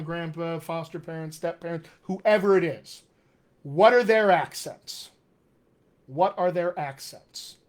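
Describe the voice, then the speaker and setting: A man with a General American accent with hints of West Texas and upstate New York, hosting a casual live Q&A as a dialect coach in an upbeat, teacherly way. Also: a little high. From Colorado, USA.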